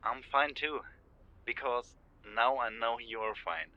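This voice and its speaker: A man voicing an eager, warm man from Germany who tumbles out questions, heard as if over a phone line. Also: medium pitch, slow pace, no echo, lightly acted. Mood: tender. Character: warm middle-aged man, Philip on phone.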